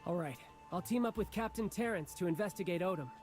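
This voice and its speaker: An actor playing a level-headed young male adventurer who speaks in a calm, measured way. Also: high-pitched, soft background music, close to an everyday voice.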